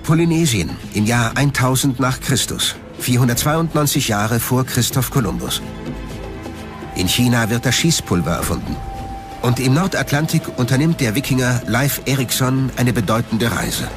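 A man's voice: mid-range voice, expressive, moderate pace, music in the background. A man narrating a documentary from a script.

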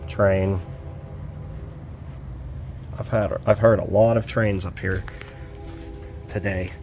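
A man's voice: low voice, fairly even intonation, slow, darkish tone, faint music behind. A man walking around an abandoned store outdoors, filming on a handheld phone and commenting casually as he goes, rambling and thinking aloud with fillers. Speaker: urban exploring vlogger. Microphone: handheld phone camera.

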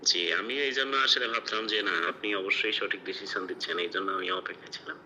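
A very bright, forceful male voice with a Bangladeshi accent comes through a phone loudspeaker over faint noise. He chats casually as a client, polite and agreeable. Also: on the low side, a little fast. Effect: phone heard over loudspeaker. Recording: noisy phone call played over loudspeaker.

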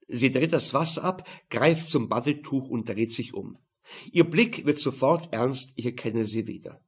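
A man's voice: mid-range voice, measured pace, breathy edge. A man with a Viennese accent reading scripted first-person crime narration in a dry, laconic manner. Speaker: audiobook narrator.

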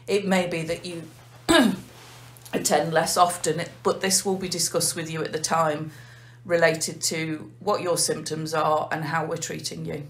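An English woman, a nurse manager, calmly explaining a heart failure clinic in an interview. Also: low voice. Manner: measured, methodical explaining.

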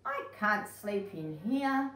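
A woman voicing a lazy, fussy female ladybird in a storybook, with plaintive, drawn-out emphasis. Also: medium pitch, wildly swooping pitch, pushed and bright, exaggerated voice. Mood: complaining. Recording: echoey room, distant mic, faint noise.

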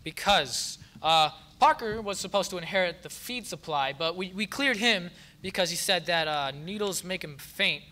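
A young man playing a lovable drifter in a radio soap on stage, halting as he improvises. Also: high voice, animated, pushed and bright. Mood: flustered improvising. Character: gruff but lovable drifter, improvised by flustered radio actor. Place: theater stage.

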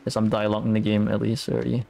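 A man's voice: on the low side, completely flat delivery, normal speed, vocal fry, mellow, a little hiss or hum. A man giving casual playthrough commentary as a game streamer, muttering and thinking aloud. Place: indoors, streaming over game audio. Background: faint game music.

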